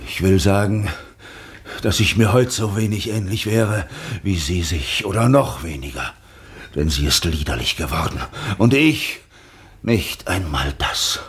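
World-weary sea captain, gravelly, very husky, slow, reflective, radio play. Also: male voice, sits low, expressive, heavily acted. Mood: brooding, haunted. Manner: halting, reflective. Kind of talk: literary German radio play acting.

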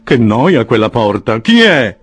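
A fussy older gentleman speaks with an exasperated edge, his husky voice rising and falling over a wide range, as a man voices him in an audiobook. His pitch sits in the middle. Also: big pitch swings, unhurried. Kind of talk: audiobook character voice.

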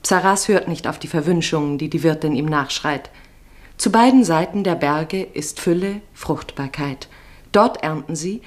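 Lowish-voiced woman narrating a radio drama. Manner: measured, descriptive.

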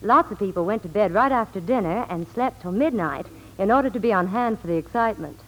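A woman reporter speaks with very animated intonation. She delivers scripted Hollywood chat and advertising in 1940s radio style. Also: mid-range voice, fairly quick, low-quality recording. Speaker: Hollywood reporter and soap pitchwoman.

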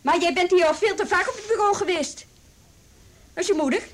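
A woman's voice: very high-pitched, a little fast. A woman playing a firm, dry policewoman questioning someone in a radio play. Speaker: police officer. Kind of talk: Dutch radio play acting.

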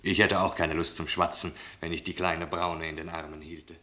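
An actor playing a jovial, flirtatious tavern guest in a radio play, chatty and teasingly mocking. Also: male voice, low voice, level tone, normal speed, sharp tone. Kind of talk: mid-century German radio play acting.

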